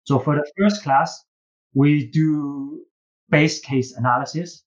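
Man, Chinese accent, slightly high pitch, earnest professor, halting phrasing, repeated words, somewhat muffled lo-fi recording. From China. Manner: earnest, halting with repeated words, often ends points with "right?". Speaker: business school professor and case writer, podcast guest. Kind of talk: interview answers.

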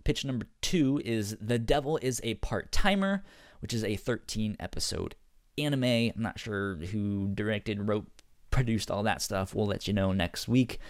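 A man co-hosting a podcast in casual chat, stuttering on syllables and words in an animated, halting way. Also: lowish voice, no room sound, clean studio sound. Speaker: podcast co-host.

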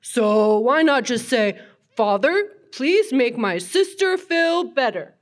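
A woman's voice: high-pitched, lively intonation, slow pace. A woman voicing a friendly boy puppet who patiently questions and advises a pal in a children's church show. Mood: patient, teaching.

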